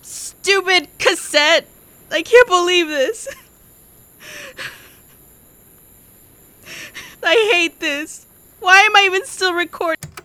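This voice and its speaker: A woman's voice: very high voice, measured pace, sharp tone, dry recording, heavily acted. A young woman playing an anxious, awkward seventeen-year-old girl in an audio drama, speaking in a hesitant, flustered way. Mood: embarrassed, frustrated.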